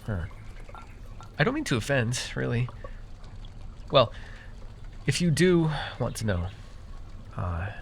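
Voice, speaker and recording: Casting: male actor as fantasy herbalist-mage, medium pitch, proud, theatrical, easily flustered, intimate roleplay ASMR delivery, slight background noise. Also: a bit bright. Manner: theatrical boasting that slips into sheepish, stammering asides. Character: proud, easily exasperated young magical herbalist who gave away his name.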